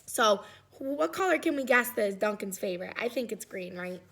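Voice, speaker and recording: A woman's voice: mid-range voice, lively intonation, normal speed, creaky, a bit bright, a little hiss or hum. A woman reading a picture book aloud, chatting encouragingly and putting questions to young listeners. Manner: encouraging, often checks in with "right?".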